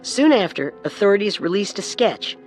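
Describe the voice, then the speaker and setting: A man with a fairly high voice narrates a scripted true-crime story as co-host. He explains details in a storytelling way, with soft music in the background. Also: very animated, moderate pace.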